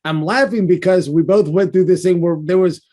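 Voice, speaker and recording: A man hosting a leadership podcast, chatting with a guest in a friendly, talkative way full of quick asides. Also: sits high, warm tone. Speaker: podcast host. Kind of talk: casual interview hosting.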